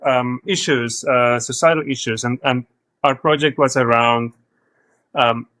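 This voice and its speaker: A man's voice: medium pitch, fairly even intonation, a little slow, sharp tone, dry recording. Hesitant South African man, dry sound. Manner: hesitant, many fillers and restarts. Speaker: guest, studio co-founder. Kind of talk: podcast interview.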